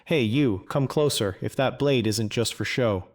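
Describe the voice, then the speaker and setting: Game NPC: weary old male farmer, acted by a man, blunt and dry, mid-pitched, terse lines. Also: unhurried, close to an everyday voice. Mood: gruff, blunt. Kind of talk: video game quest dialogue.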